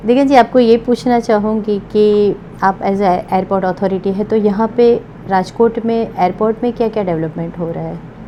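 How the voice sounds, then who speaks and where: A woman's voice: medium pitch, fairly even intonation, moderate pace, highs cut off. A woman working as a television interviewer, asking questions and acknowledging answers. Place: at an airport. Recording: noisy location recording with ambient noise.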